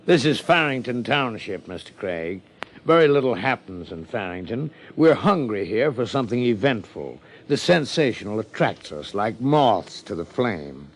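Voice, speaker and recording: An American man playing a glib, slyly mocking small-town plant manager in 1950s radio drama, with florid, theatrical phrasing. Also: mid-range voice.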